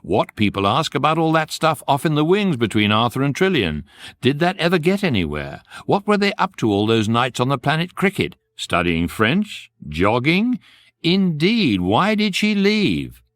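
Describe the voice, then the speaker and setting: An actor playing the wry, urbane, measured narrator of a guidebook in a radio comedy, with a British accent. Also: male voice, fairly high pitch, sing-song, a bit bright, dry recording. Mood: mock-dramatic.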